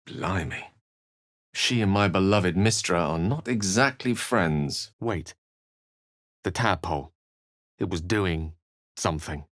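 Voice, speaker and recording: A man's voice: low voice, slow pace, pauses often, a bit bright, dry recording, clean studio sound. A British man voicing an eloquent, courteous wizard in a video game, with articulate, bookish and theatrical phrasing. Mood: wry, thoughtful. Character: eloquent, urbane male wizard, wry and courteous. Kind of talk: video game character voice acting.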